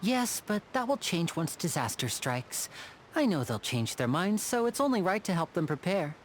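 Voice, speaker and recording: A woman playing a poised, thoughtful female magician in a video game, measured and composed. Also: fairly low pitch, very animated, slight background noise. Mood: curious, composed. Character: poised female magician, cool, cryptic, quietly caring.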